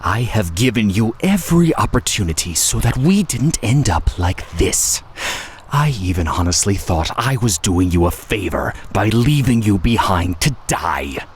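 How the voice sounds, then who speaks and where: A scheming male game villain, with a low voice over clearly noisy ambience. He speechifies with theatrical condescension, taunting and hungry for war and chaos. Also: very animated, unhurried. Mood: mocking annoyance. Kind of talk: dramatic video game voice acting.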